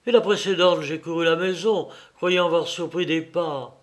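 A man's voice: a little high, moderate pace, lightly acted. A man voicing an anguished, fearful young man who pleads with his mother in an agitated, dramatic way, as in an audiobook. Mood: frightened, agitated.

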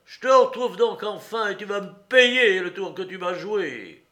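A man voicing a hot-tempered, rough fairground fellow with blustering, colloquial speech in an audiobook novel. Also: high voice, moderate pace, fairly bright tone, pitched above the performer's own voice. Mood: angry, threatening.

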